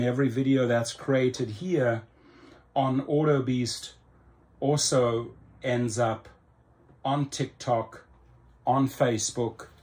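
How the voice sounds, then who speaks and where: A middle-aged man with a South African accent, talking casually to camera in a room to promote his channel, upbeat and salesy with lots of ums. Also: mid-range voice. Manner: upbeat, salesy, with many ums and slow emphatic phrases. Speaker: YouTube channel host. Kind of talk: casual promotional vlog talk. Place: indoor room in front of a computer screen.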